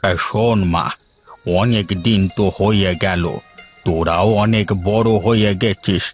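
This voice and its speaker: An aging village father from a cartoon folktale, acted by a man, caring and fatherly. He speaks very slowly in a lowish voice over soft music. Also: lightly acted. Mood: fond. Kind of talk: animated folktale dialogue.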